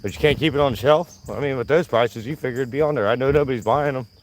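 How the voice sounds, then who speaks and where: A man's voice: medium pitch, fast talker. A man, chatting and grumbling to himself while hunting outdoors for his video. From South Carolina. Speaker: vlogger. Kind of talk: casual rambling commentary. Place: outdoors in nature. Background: insects and crickets.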